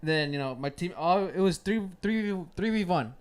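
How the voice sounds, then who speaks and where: A young man telling a loud, swearing story about a video game match. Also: high voice, animated, moderate pace, clean tone. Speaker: podcast co-host. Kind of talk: casual gaming chat. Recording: music playing over the talk.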